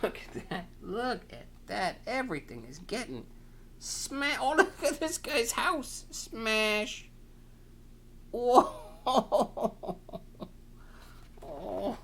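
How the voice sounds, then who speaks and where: A man reads a children's story with very lively, swinging intonation. His pace is unhurried, and he gives the words playful, dramatic emphasis in an exclamatory storytime style. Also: very high voice, creaky, a little hiss or hum. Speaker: storytime reader. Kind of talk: animated children's picture-book reading.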